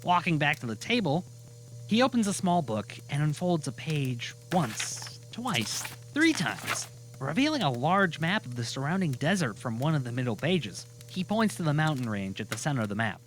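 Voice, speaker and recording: Animated male audio drama narrator. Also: fairly high pitch, moderate pace, soft background music, rough recording.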